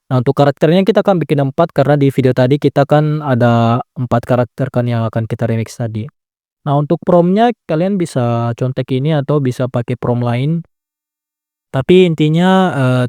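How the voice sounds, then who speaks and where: Mellow-voiced man explaining a tutorial. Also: mid-range voice, dry recording, studio quality. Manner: relaxed step-by-step explaining, frequent "nah" and "ya kan" tags. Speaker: tutorial creator. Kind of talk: casual screen-recorded app tutorial.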